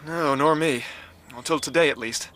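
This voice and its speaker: An actor playing a bold, hot-tempered young baronet in a radio drama, forthright and impulsive. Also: male voice, fairly high pitch, moderate pace, pushed and bright. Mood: exasperated.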